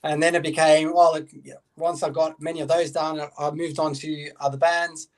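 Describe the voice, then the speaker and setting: A man with a somewhat high voice tells his story slowly and hesitantly. He stops often on "um" and restarts his sentences. The tone stays plain and conversational. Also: flat delivery. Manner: hesitant, many "um"s. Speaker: band guitarist and songwriter, guest. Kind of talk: casual podcast chat.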